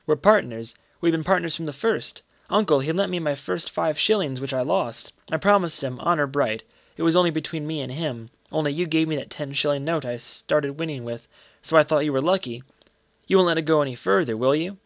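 A man voicing an earnest, secretive young boy in a story, speaking gravely and politely. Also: on the high side, brisk, no room sound, voice pushed up.

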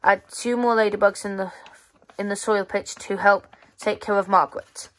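A woman casually reads through a children's magazine, halting as she reads and adding offhand muttered asides. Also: medium pitch, fairly even intonation. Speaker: magazine reviewer. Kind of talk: casual read-aloud walkthrough of a children's magazine. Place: indoors at a tabletop.

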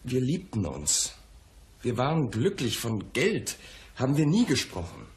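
An actor playing a defensive, lovesick client in a radio crime drama, speaking in an earnest, emotional manner. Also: male voice, medium pitch, very animated, unhurried, slight background noise. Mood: pleading, earnest.

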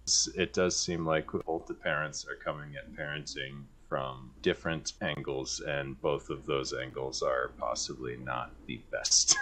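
Very deep, husky-voiced man chatting. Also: steady tone, unhurried, bright, forceful tone. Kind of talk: casual conversation.

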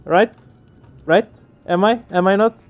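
A man's voice: high voice, a little slow, narrow, tinny audio. A man doing casual let's play commentary, puzzling things out with repeated questions. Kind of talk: casual game commentary and reading aloud. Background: game music.

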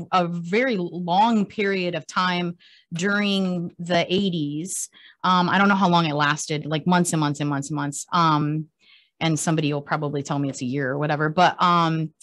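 Guest: Cuban-born woman in her forties, Spanish first language, lower voice, rambling chatty storyteller. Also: a bit bright. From Cuba. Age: 40s. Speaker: country singer, guest. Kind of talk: casual interview chat.

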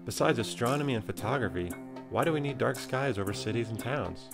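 A man's voice: mid-range voice, expressive, brisk, creaky. A man with an American accent reading scripted documentary narration. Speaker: narrator and nature photographer. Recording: music behind. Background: music.

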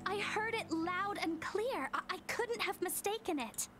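A timid, tender young sister from a video game. Her voice is extremely high and earnest, pleading as she speaks. Music is clearly heard behind her. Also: a little slow. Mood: anxious. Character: anxious, tender young sister, easily frightened. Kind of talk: English game voice acting.

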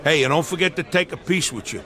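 An actor playing a brash, streetwise young small-time crook in a game cutscene, with an American accent and breezy, slangy talk. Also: male voice, fairly high pitch, expressive, quick pace, sharp tone. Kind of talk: video game cutscene acting. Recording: music behind the voice.

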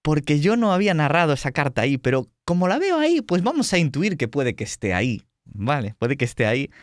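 A man's voice: a little high, wildly swooping pitch, fairly quick, dry recording. Dry-recorded Spanish man hosting roleplay. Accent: Spanish from Spain. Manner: teasing, mock-boastful banter. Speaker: host and game master of a tabletop roleplay show. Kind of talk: casual joking introduction of players on a livestream.

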